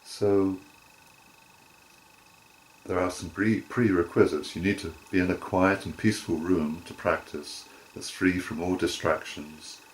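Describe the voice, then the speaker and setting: A man with a deep, low voice gives guided relaxation instruction as a yoga nidra teacher. He speaks in measured, deliberate phrases, pausing briefly between them. There is some faint background noise in the recording. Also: steady tone.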